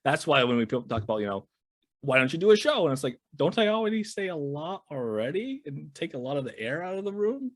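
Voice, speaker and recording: A man's voice: a little high, big pitch swings, quick pace, no room sound, clean studio sound. A man talking as a guest on a podcast, in casual and emphatic chat that often repeats words as he starts.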